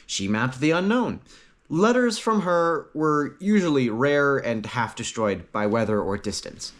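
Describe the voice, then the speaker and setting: Animated male fantasy storyteller. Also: medium pitch. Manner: dramatic pauses, short emphatic phrases. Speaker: audiobook narrator. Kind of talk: scripted fantasy story narration.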